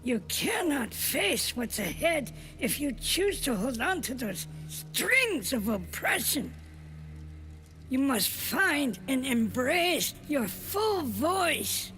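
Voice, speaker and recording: A solemn, mystical female spirit delivers portentous guidance in a game cinematic. The voice sits fairly high and swings widely in pitch, with extremely drawn-out, emphatic pacing and a commanding gravity. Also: big pitch swings, a bit bright, soft background music, full character voice. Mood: solemn, urging. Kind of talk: dramatic animated game cinematic acting.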